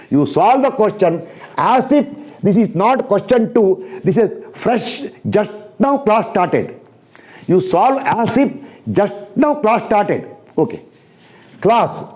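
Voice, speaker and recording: A man's voice: high-pitched, mellow. A man from India with an Indian English accent, teaching engineering in a blunt, repetitive lecture style that drills students with rhetorical questions. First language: not English. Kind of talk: recorded classroom lecture.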